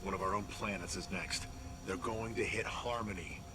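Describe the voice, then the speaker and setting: An actor playing a grim military officer in a video game, speaking gravely and tersely as he records intel. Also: deep voice, steady tone, moderate pace, close to an everyday voice. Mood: grim, urgent. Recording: clear game noise behind.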